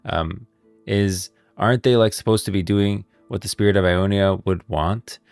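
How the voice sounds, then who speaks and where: Male streamer, deep register, informal rambling commentary, dry close sound. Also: moderate pace, a little mellow, clean tone, dry recording, faint music behind. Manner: rambling, informal, thinking aloud. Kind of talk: casual livestream lore commentary.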